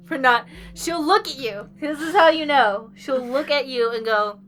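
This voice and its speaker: A woman co-hosting a gaming video, bantering casually in an animated, teasing, giggly way. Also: high voice, measured pace. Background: game music.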